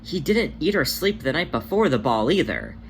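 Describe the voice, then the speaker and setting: A narrator voicing an anxious, earnest young female cat who is excitable and sharp-tongued. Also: medium pitch, moderate pace, slight background noise, voice pushed up. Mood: worried, wry.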